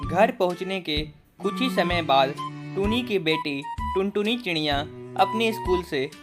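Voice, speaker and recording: A woman narrates a scripted children's story as its storyteller. Her voice sits a little lower than most women's, with faint music behind it. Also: expressive, unhurried.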